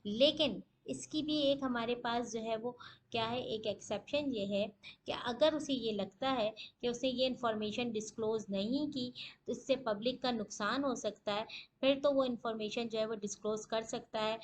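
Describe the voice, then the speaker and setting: A woman's voice: a little high, fairly even intonation, normal speed, a little hiss or hum. A woman with a Pakistani accent giving an explanatory law lecture in a didactic way, posing questions and answering them, mixing in English terms. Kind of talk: explanatory lecture, switching between Urdu and English legal terms.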